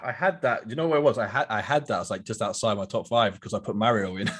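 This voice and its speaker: A man's voice: lowish voice, quick pace, fairly bright tone. A chatty man on a podcast panel, bantering casually and often repeating his phrases.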